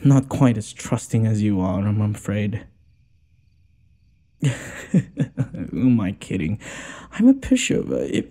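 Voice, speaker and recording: A man playing a gentle, smitten noble lord in an intimate audio roleplay, hesitant and apologetic, stammering and sighing as he talks. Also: fairly low pitch, full character voice.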